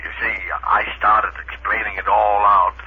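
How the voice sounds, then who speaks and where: A man's voice: on the low side, level tone, normal speed, creaky. An actor playing a bumbling, good-natured rocket crewman who bluffs in flustered, folksy, ungrammatical stammers, in 1930s radio serial style. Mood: proud, eager. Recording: noisy, narrow-band 1930s radio recording.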